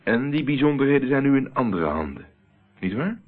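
An actor playing a cool, insistent investigator in a Dutch radio play, curt and in control. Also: male voice, mid-range voice, big pitch swings, fairly quick. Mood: pressing. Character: cool, commanding male investigator. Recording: muffled, tinny old radio recording.

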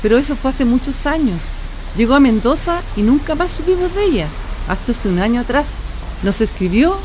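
A woman voicing an older wife caring for her husband, gentle and coaxing, in a community radio drama. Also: sits high, animated, fairly quick, slight background noise, poor audio. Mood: tender.

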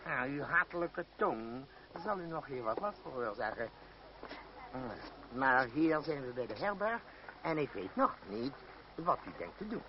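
A man's voice: mid-range voice, big pitch swings, measured pace. An actor playing a wily, middle-aged boss of a theatre troupe in an old radio play, pompous and unctuous, hemming as he schemes. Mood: cajoling. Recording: dull, noisy old radio recording.

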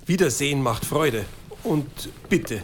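An actor playing a fussy but kindly male school caretaker with a gravelly Bavarian voice in a radio drama. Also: mid-range voice, animated, slow pace, slight background noise. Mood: gruffly kind.

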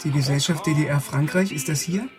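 A man's voice: fairly high pitch, fairly quick, natural voice. An actor playing a bookish young East German man, narrating and speaking in a radio drama with dry, precise irony. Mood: polite. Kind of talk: first-person radio drama narration and dialogue. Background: music.

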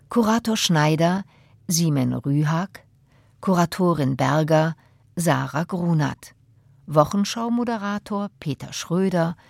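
Low-voiced woman announcer, slow and creaky. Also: a little mellow, dry recording, clean studio sound. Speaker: credits announcer. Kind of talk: scripted announcement.

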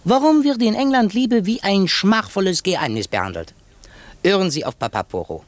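An actor playing a shrewd, self-assured foreign detective in a theatrical, didactic manner, in 1950s German radio drama style. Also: male voice, high voice, sing-song, normal speed. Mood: fatherly, emphatic. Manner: theatrical, didactic, sprinkles in French exclamations.

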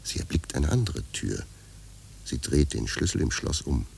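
A man reading wry scripted narration for a radio play in a gravelly voice. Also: extremely low pitch, big pitch swings, moderate pace, darkish tone, a little hiss or hum.

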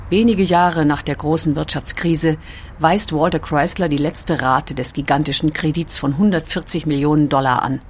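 A woman reading a documentary script as narrator, with a calm, clear storytelling delivery. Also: deep voice.

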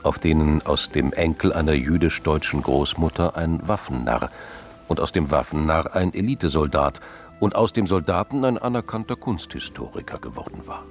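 A man's voice: extremely low pitch, moderate pace. A man narrating a radio crime drama from a script. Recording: music behind the voice.

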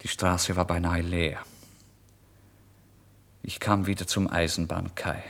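A man's voice: low voice, level tone, takes it slowly, creaky, heavily acted. An actor playing a starving, proud and agitated down-and-out man in a dramatic literary radio monologue. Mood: hushed, exhausted brooding. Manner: dramatic, swinging from hushed brooding to indignant outbursts.

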